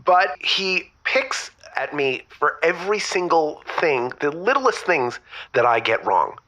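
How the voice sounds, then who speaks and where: A man's voice: fairly high pitch, big pitch swings, slow, pushed and bright, exaggerated voice, low-quality recording. An actor playing an aggrieved, petty man calling a radio show, chatty, defensive and exasperated. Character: aggrieved, petty male radio caller griping about his partner. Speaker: caller. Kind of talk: chatty comedic call-in complaint.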